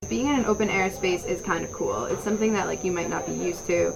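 Brief: young female intern, lowish gravelly voice, chatty, noisy field recording. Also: fast. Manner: chatty, with frequent "like" fillers. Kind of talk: casual interview answers.

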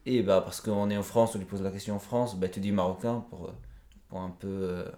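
A man's voice: deep voice, fairly even intonation, brisk, warm tone, echoey room. A man talking as a podcast guest, earnest and reflective, explaining his views at length in a conversational interview.

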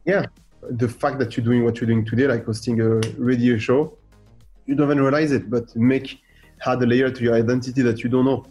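Radio guest: middle-aged French-accented man, mellow and lively, fond of rhetorical questions. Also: mid-range voice, fairly quick, highs cut off, soft background music. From France. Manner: animated, fires rhetorical questions, acts out quoted voices. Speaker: guest artist. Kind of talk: radio interview.

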